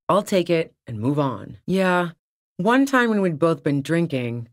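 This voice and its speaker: A woman's voice: low voice, no room sound. A woman playing a tough, sardonic female lorry-driving smuggler in a video game, with a dry, sarcastic and casually profane way of talking. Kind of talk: video game character dialogue.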